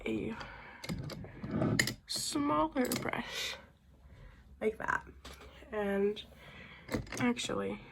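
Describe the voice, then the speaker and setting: Slow-talking, slightly raspy young woman. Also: on the high side, very noisy. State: getting over a sickness. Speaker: beauty vlogger. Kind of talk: casual makeup tutorial chat.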